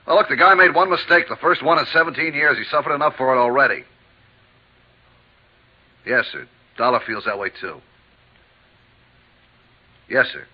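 A man's voice: mid-range voice, normal speed, pauses often, a little hiss or hum, lightly acted. An actor in a 1950s radio drama playing an earnest, forgiving company man with a plain-spoken, deferential manner. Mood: pleading, insistent. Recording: muffled 1950s radio recording.

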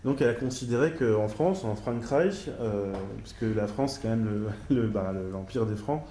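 A middle-aged man speaks from a panel desk in a large lecture hall, pausing often and filling gaps as he explains. His voice is mellow and dark. The table mic picks up a roomy echo. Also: medium pitch. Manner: hesitant, many fillers, careful pronunciation of German names. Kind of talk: academic conference talk and discussion. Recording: echoey hall with faint noise.

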